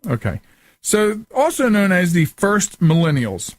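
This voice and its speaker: A middle-aged man with a slightly high voice hosts a casual talk show. He rambles informally, with loose asides, close on the mic in a very dry studio sound. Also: big pitch swings, measured pace, dry recording. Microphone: close studio microphone.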